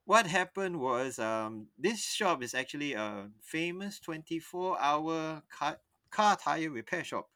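A man's voice: a little high, lively intonation, slow, bright, forceful tone, dry recording. A young man, chatting on a podcast and telling a long personal story that rambles, stops and restarts mid-sentence. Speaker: podcast co-host. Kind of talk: casual storytelling chat.

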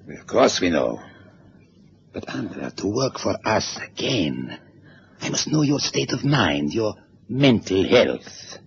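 An actor playing a cold, measured Soviet interrogator in a 1960s radio drama, clipped and coldly polite. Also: male voice, fairly low pitch, very animated, vocal fry, full character voice. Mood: menacing. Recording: dull old radio recording, faint hiss.

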